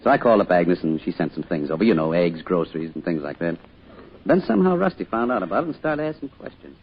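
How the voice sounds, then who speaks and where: Muffled old radio recording of a small-town father with a deep, husky voice. He talks quickly in a folksy, wistful, reflective way, as in vintage radio drama. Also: big pitch swings, slight background noise. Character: loving, wistful small-town father, grieving his son.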